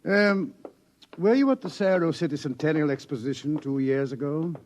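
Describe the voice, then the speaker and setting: An actor playing a wry, grave middle-aged professor who lectures theatrically in a 1950s radio drama. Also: male voice, a little high, darkish tone, a little hiss or hum, lightly acted. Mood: confiding, ominous. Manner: didactic, theatrical, measured pauses.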